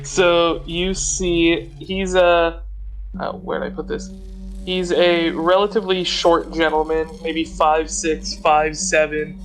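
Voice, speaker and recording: A man with a fairly high voice and very little rise or fall plays a tabletop role-playing game. He describes things casually, in a measured, matter-of-fact way. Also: level tone, faint music behind, low-quality recording.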